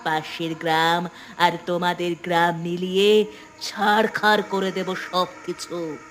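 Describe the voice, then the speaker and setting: A vengeful female cartoon ghost, hungry for blood and full of menace. She speaks slowly, drawing out her taunts and cackling, with noisy ambience around her. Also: on the low side, flat delivery. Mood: taunting menace. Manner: slow, taunting delivery with cackling. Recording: background noise and ambience.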